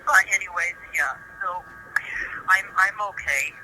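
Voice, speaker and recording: A man talking casually and haltingly on a noisy phone call from jail. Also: high voice, pushed and bright.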